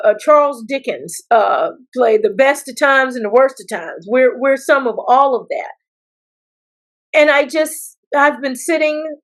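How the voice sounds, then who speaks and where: An American woman from South Carolina answering interview questions as a political candidate, speaking in deliberate phrases with pauses. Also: fairly high pitch. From South Carolina, United States. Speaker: political candidate, attorney and former judge.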